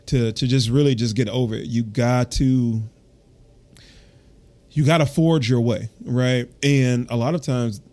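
A man's voice: mid-range voice, mellow. A man who works as a personal trainer, hosting a solo fitness podcast, reading from an article and breaking off to ramble, correct himself and encourage listeners.

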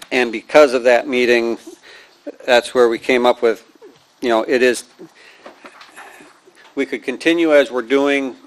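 A man giving a committee report to a county board, plain-spoken and hesitant with many ums, in a large meeting room. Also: medium pitch.

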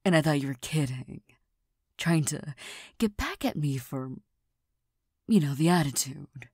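A prickly young girlfriend who hides her tenderness, as a woman plays her in an audio roleplay. Her voice is low and very husky, and she half-whispers slowly with little stammers and shy laughs. The sound is dry and close, with no room around it. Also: expressive, a little mellow, no room sound, full character voice. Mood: vulnerable, defensive. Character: proud, touchy young girlfriend hiding tenderness. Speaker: voice actor in a listener roleplay.

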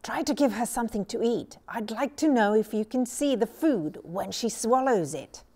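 A small, bold girl creature from a storybook, played by a woman reader. She is curious and blunt, talking eagerly in a raised pitch. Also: lively intonation, normal speed, warm tone. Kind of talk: storybook character reading.

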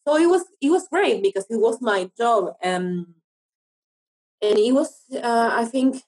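A woman's voice: a little high, expressive, unhurried, darkish tone, rough recording. A woman from Argentina with an Argentine accent, a native Spanish speaker, talking casually as an interview guest and often searching for her words. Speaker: interview guest, actress and TV producer.

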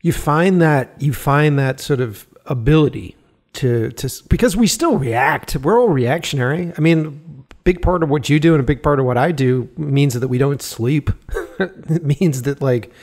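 A man's voice: on the high side, moderate pace, a little mellow. A man hosting a podcast interview, enthusiastic and casual, laughing often and swearing freely. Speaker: podcast host.

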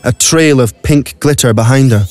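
A man's voice: mid-range voice, moderate pace, a little mellow, soft background music. A man narrating a scripted audio play as a dramatic storyteller. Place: dry recording studio.

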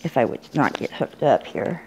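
An older woman showing off a handmade craft project in an unhurried, plain way, with small pauses and restarts. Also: extremely low pitch, big pitch swings, vocal fry, mellow, a little hiss or hum, low-quality recording. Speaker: crafter showing her work. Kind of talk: casual show-and-tell. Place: indoors at a table, bedroom. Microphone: phone camera filming from above.

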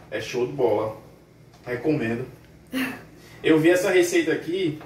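A man's voice: mid-range voice, distant mic, a little hiss or hum. Casting: young Northeastern Brazilian man, easygoing slangy home cook, recorded from across a small tiled kitchen with room echo. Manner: relaxed, slangy, recommending. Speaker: husband cooking. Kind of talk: casual home cooking chat. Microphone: camera held a few meters away. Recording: echoey kitchen.

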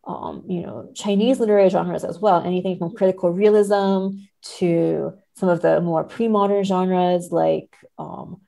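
Low-pitched, creaky-voiced woman scholar. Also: steady tone, a little slow, few pauses, mellow. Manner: hedging, careful explanations. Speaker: podcast guest, literary scholar. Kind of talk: thoughtful academic interview talk.

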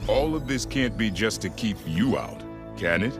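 An actor playing a big calm heroic golem in a video game, speaking in a measured, dry, understated way. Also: male voice, lowish voice, lightly acted. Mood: calm, firm. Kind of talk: video game cutscene acting. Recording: music under the voice.

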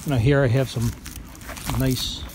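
A man's voice: medium pitch, steady tone, a little slow. A man giving a casual, folksy how-to narration in a plain-spoken way, recorded outdoors on a handheld camera. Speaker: farm vlogger. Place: outdoors on a farm property. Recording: outdoor noise behind the voice.

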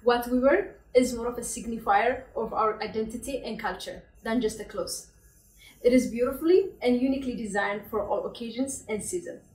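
A woman's voice: on the high side, fairly quick, a little mellow. Ethiopian-accented woman, careful formal tone. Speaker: actress and nurse. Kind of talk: prepared statement to camera. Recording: echoey room, mic at a distance.

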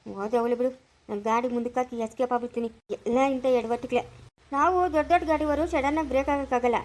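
A woman's voice: fairly high pitch, fairly even intonation, fast, darkish tone, lightly acted, poor audio. A woman voicing an irritable, self-serving truck driver in a serial drama, muttering complaints. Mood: irritated, evasive.

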